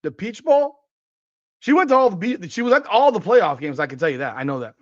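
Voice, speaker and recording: A man in his forties with a slightly high voice hosts a sports talk stream, ranting with plenty of cursing and leaping upward when something fires him up. The sound is dry and a little dull and lo-fi. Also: expressive, a little fast, no room sound. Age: 40s. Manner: ranting and profane, voice jumping up in pitch when worked up. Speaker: podcast host. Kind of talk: casual sports talk livestream, reading chat comments.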